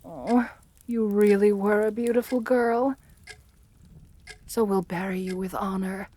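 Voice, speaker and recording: A woman playing an assertive, seductive and menacing aristocratic countess in an ASMR roleplay, speaking softly with coaxing, condescending endearments. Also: medium pitch, steady tone, a little slow, lightly acted. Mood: calm menace.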